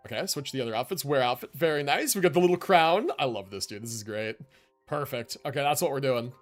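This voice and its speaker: A man's voice: mid-range voice, quick pace. A man hosting a game livestream with casual, slangy, enthusiastic commentary and the odd swear. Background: video game music and character voices.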